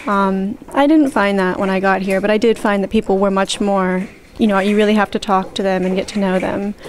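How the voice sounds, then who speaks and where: A woman explaining stereotypes clearly and evenly in an interview. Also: mid-range voice, steady tone, fairly quick, a little hiss or hum.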